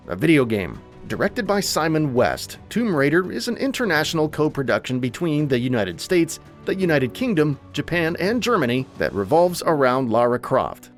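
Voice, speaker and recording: A man's voice: on the high side, creaky. A man reading scripted narration for a film countdown video, presenting like a narrator.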